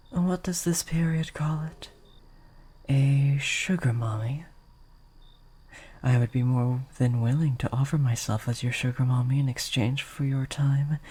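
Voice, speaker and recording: A woman acts out a courtly female vampire in an intimate audio roleplay. Her voice is very breathy and husky, often slipping into a whisper, with a dark, velvety tone. She speaks slowly and formally, gentle yet possessive as she coaxes. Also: rumbling low, full character voice. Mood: courteous, coaxing. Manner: formal, archaic, slow, coaxing.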